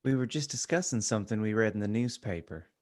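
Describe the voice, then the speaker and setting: A man's voice: fairly low pitch, quick pace, darkish tone, no room sound, studio quality, lightly acted. A man playing a brave, friendly schoolboy wizard in a parody reading, earnest and reassuring. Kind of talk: acted fan-fiction reading.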